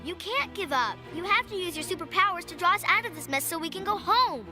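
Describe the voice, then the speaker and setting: Spirited 12 year old cartoon girl, super high voice, music underneath. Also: animated, fast talker. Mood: insistent. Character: determined, spirited twelve-year-old girl, supportive friend. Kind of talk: animated cartoon acting.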